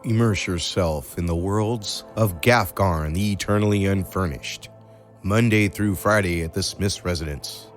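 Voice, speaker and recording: A man's voice: low voice, unhurried, faint music behind. A man reading a scripted podcast trailer as an announcer. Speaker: promo announcer.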